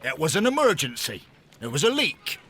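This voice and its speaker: An actor playing a proud, defiant Victorian sea captain in a video game, formal and blustering in manner. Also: male voice, a little high, wildly swooping pitch, normal speed, fairly bright tone, a little hiss or hum, heavily acted. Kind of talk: video game cutscene acting.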